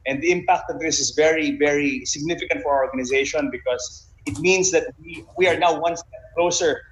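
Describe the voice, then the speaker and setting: A man's voice: a little high, fairly quick, roomy sound, a little hiss or hum. A man speaking as an interview guest, explaining in a conversational way as a sports official. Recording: dull lo-fi online call.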